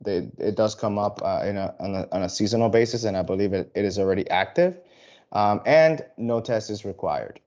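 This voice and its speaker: A man from the Dominican Republic, an airline sales representative, giving a casual, halting presentation to travel advisors, full of fillers and restarts. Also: fairly low pitch, darkish tone, lo-fi sound.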